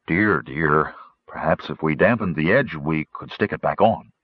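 A fussy, low-voiced gnome doctor, as a man puts him on, tutting softly and dithering over every remedy. The husky voice sits in a dry, muffled recording. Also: moderate pace, no room sound. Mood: fretful. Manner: clucking, mild tutting.

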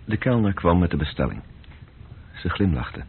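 A man's voice: deep voice, big pitch swings, moderate pace, husky. A man narrating a radio drama in a literary, scripted style. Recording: muffled old radio recording with faint noise.

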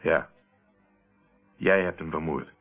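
An actor playing a steady, probing man who confronts a murderer in a radio play, speaking in a deliberate, controlled, accusing way. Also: rumbling low, soft background music, full character voice. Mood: hard, confident. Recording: tinny, narrow-band old radio recording.

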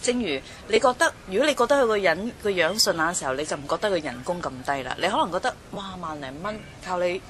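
A woman's voice: lowish voice, expressive, fast talker, few pauses, fairly bright tone. A woman with a Hong Kong Cantonese accent giving casual advice in a colloquial, explanatory way with hypothetical examples, people chattering behind her. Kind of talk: casual discussion of dating advice.

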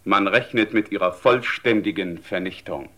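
A man's voice: sits low, normal speed, bright, forceful tone. An actor playing a grave male radio announcer reading a wartime news bulletin, formal, urgent and emphatic.

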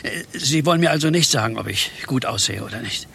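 Male programmer: flustered, halting, bright and creaky voice, radio drama. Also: mid-range voice, lively intonation, a little hiss or hum. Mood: anxious, awkward. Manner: stammering, halting, throat-clearing. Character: flustered, insecure, monogamous married male office programmer. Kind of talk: radio play acting.

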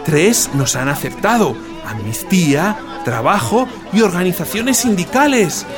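Excitable, high-voiced activist. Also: male voice, a little slow, over music, crowd noise. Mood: jubilant. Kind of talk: radio drama acting.

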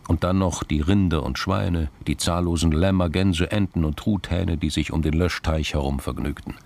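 A man narrating a scripted crime drama in a gravelly voice, measured and understated. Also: low voice, steady tone, a little mellow.

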